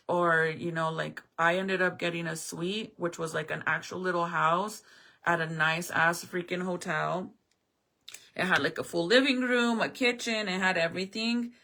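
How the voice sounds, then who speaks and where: A woman's voice: lowish voice, fairly bright tone. A woman streamer in casual chat with her viewers, blunt and chatty, swearing freely, whose first language is Spanish. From American Southwest. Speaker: live streamer.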